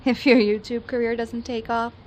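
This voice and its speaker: A woman's voice: on the high side, level tone, moderate pace, a little hiss or hum, lightly acted. A woman playing a teasing, friendly young university student in a radio play, wry and playful.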